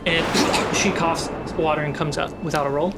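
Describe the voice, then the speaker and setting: Male dungeon master over loud cinematic music. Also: fairly high pitch, steady tone, normal speed. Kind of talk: dramatic tabletop game narration. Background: loud music score.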